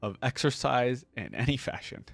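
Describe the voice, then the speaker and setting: A woman's voice: extremely low pitch, very animated, moderate pace, vocal fry. A woman giving casual, chatty reaction commentary as a video host, speaking informally.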